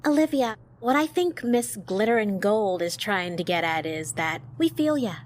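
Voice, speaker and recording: A woman's voice: medium pitch, animated, moderate pace, close to an everyday voice. A woman playing a brash, restless young friend in an audio drama, slangy, blunt and playfully dramatic. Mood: teasing.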